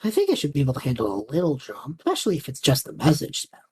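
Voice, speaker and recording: A woman's voice: a bass voice, wildly swooping pitch, racing, dark tone, exaggerated voice. A young woman playing a cheeky, easygoing, adventurous male student in a fantasy audio drama, with a breezy, quippy delivery. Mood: casual, teasing.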